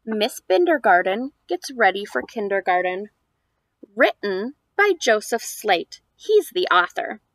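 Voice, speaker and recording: A woman's voice: mid-range voice, very animated, measured pace, fairly bright tone, no room sound, rough recording. A woman in her thirties speaking as a preschool teacher to young children, in an exaggerated sing-song read-aloud delivery. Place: outdoors on a house porch.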